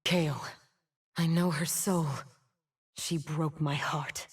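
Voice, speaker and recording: A woman's voice: low-pitched, steady tone, husky, exaggerated voice. An actress playing a bitter, wounded fallen winged woman in a video game, solemn and theatrical. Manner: slow, solemn, theatrical delivery. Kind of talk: dramatic video game champion voice lines.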